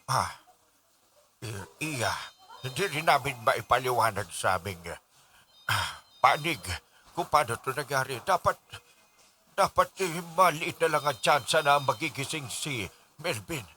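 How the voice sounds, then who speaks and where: A man's voice: medium pitch, animated, measured pace, pauses often, sharp tone, a little hiss or hum. A man with a Filipino accent acting an agitated, stern figure of authority in a radio melodrama, emphatic and stammering. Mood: puzzled, halting. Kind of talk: Tagalog radio drama acting.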